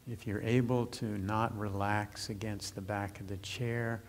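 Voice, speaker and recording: A man leading a guided meditation as its teacher, giving gentle, measured instructions with long pauses, on a rumbly, noisy recording. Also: level tone.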